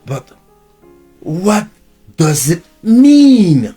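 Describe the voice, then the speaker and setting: A man from Haiti preaching an unscripted sermon, with Haitian Creole as his first language, restarting phrases and swinging in pitch and volume. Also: huge pitch swings, very slow, dark tone, husky, faint music behind. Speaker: preacher and teacher.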